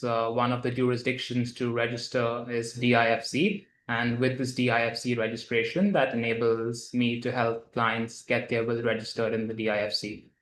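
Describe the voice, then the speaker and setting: Flat-voiced man. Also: mid-range voice. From grew up in India. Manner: steady, matter-of-fact, with occasional fillers. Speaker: lawyer, guest. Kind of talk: explanatory interview answers. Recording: echoey room.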